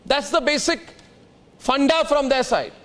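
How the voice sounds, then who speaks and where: A man's voice: very high-pitched, a little slow, a bit bright. A man with an Indian accent hosting a heated TV news debate, speaking combatively and insistently, repeating himself and hammering rhetorical questions. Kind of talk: heated political debate and commentary.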